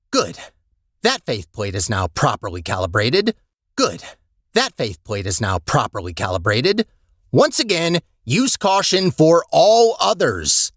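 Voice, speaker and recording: An actor voicing a chatty, sardonic robot facilities manager in a video game, wry and condescending with officious politeness. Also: male voice, mid-range voice, fairly bright tone, dry recording, studio quality, exaggerated voice. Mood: matter-of-fact. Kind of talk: scripted video game voice acting.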